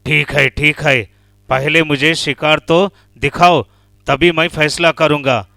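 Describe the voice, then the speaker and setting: A man's voice: a little high, fairly even intonation, takes it slowly, vocal fry, sharp tone, no echo, studio quality, heavily acted. A man voicing a hungry, scheming lion king in an animal story, self-important and commanding. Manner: gloating, commanding.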